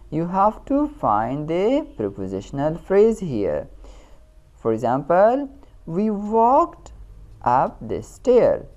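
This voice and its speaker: A woman with a Pakistani accent giving a scripted grammar lesson as a teacher, in a deliberate, instructional manner. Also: deep voice, a little mellow. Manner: instructional, deliberate, repeats key terms. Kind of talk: scripted lesson narration with examples.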